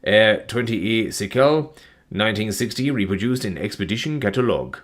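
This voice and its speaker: A man with a somewhat low voice reads long file listings on a stream in a flat, plodding rhythm. He sounds worn out, and his voice is beginning to go. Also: normal speed. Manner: flat, plodding reading of numbers with weary asides. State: tired, voice starting to go. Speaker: document narrator.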